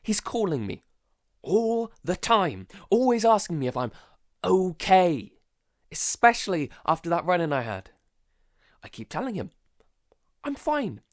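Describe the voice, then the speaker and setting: A haunted survivor played by a man records an audio-drama diary at a slow, heavy pace. He is traumatised and frightened, speaking haltingly with stammers and sighs. The sound is dry and close. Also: sits high, big pitch swings, no echo. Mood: irritated, defensive.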